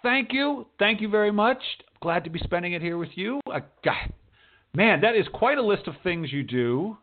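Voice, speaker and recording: A man's voice: sits high, animated, moderate pace. An American man hosting a talk show in an informal, chatty way, with emphatic asides and repeated phrases. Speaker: radio show host and writing teacher. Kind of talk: chatty show introduction and interview. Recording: narrow tinny phone-line sound.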